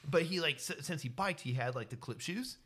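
A young man co-hosts a casual podcast, telling a rambling story and breaking into laughter often. His voice sits a little high. It has a bright, forceful tone. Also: animated, fairly quick. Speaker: podcast co-host. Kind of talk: casual chat.

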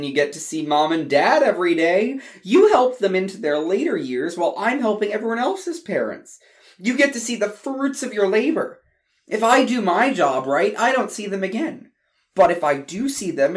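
An overworked doctor brother, as a man puts him on, venting with heat in a storytold scene. He speaks up higher and louder than the narrator and pushes his point hard. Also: sing-song, moderate pace. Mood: earnest. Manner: heated, raising his voice.

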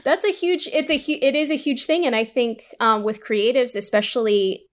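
A woman speaking casually as an interview guest, halting and repeating words as she works toward her point. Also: a little high. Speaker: indie audio drama creator, interview guest. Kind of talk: casual unscripted interview talk.

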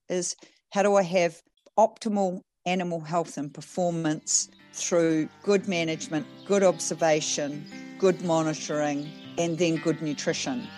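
A middle-aged New woman with a low voice and a mellow tone tells her story in a reflective way. The recording is dry and close. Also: dry recording. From New Zealand. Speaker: farm manager and farm coach. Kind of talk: podcast interview.

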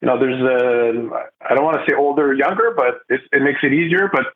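A man's voice: mid-range voice, fairly quick, a little hiss or hum. Man on a phone-like line. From Montreal, Canada. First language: French. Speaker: guest expert, retired police officer. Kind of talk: conversational interview answers.

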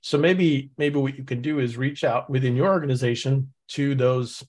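A man's voice: medium pitch, steady tone, normal speed, a little mellow, husky. A man from Norway, hosting a podcast in casual chat, thinking aloud as he reads through material with frequent restarts. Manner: thinks aloud, reading and musing with many restarts. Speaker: podcast host. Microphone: remote call setup.

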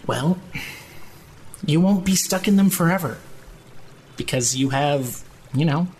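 An actor playing a drunk, blunt, foul-mouthed young man who insistently and gruffly looks out for his friend in an audio drama. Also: fairly high pitch, expressive, measured pace, a little mellow. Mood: blunt, firm. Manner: slangy, insistent, gruffly caring. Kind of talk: audio drama acting, naturalistic.

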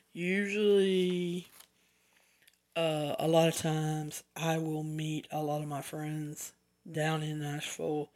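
Slow southern podcast host rambling thru updates, lots of little tangents. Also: mid-range voice, a little mellow. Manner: rambling, chatty asides, self-corrections. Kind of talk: casual solo podcast rundown.

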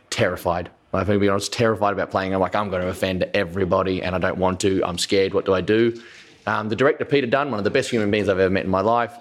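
A man talking as a guest performer, telling personal stories in a casual, candid and animated way. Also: on the low side. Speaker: guest actor and comedian. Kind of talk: casual storytelling.

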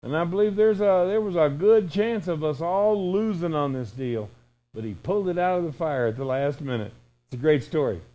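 Folksy older male preacher, higher-pitched voice. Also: animated, normal speed, dark tone, poor audio. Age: 60s. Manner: folksy, acts out imagined dialogue, emphatic repetition. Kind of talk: informal, conversational sermon.

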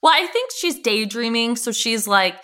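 A woman's voice: fairly high pitch, expressive, normal speed, pushed and bright. A woman co-hosting a podcast, bantering casually about television in an emphatic, slangy, gushing way. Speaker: podcast co-host.

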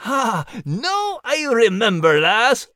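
Cheery, forgetful Scottish male character host, bright forceful tone, bouncy intonation, folksy. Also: extremely high pitch, wildly swooping pitch, slow pace, dry recording, clean studio sound, heavily acted. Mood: jolly, bemused. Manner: folksy, chuckling. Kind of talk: scripted podcast character hosting.

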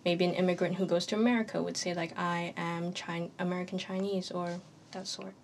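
A woman's voice: lowish voice, little pitch movement, a little fast, a little mellow, slight background noise. A woman in her early twenties from Hong Kong, a university student speaking earnestly and emphatically in a roundtable discussion. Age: early 20s. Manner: earnest and emphatic, with occasional laughs.

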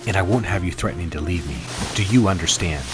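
An actor playing a stern, controlling male lover in an audio drama, speaking in a commanding, deliberate manner. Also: low voice, noisy background, natural voice. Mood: cold, menacing. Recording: music under the voice. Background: loud music.

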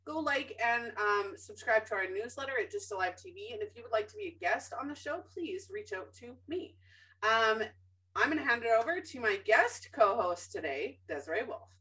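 A woman's voice: on the high side, expressive, moderate pace, sharp tone, distant mic, rough recording. A woman hosting a podcast in a casual, chatty way, opinionated and emphatic, with lots of ums and self-corrections. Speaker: podcast host.

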